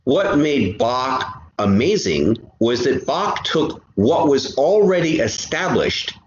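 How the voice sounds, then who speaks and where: A man speaking as a podcast guest in an animated casual discussion, emphatic and often halting to repeat a phrase. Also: mid-range voice. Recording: roomy, dull sound with faint noise.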